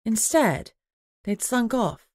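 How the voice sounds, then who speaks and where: A woman's voice: on the high side, animated, slow pace, a little mellow, no room sound, clean studio sound. A woman reading first-person fiction as an audiobook narrator in a scripted storytelling style.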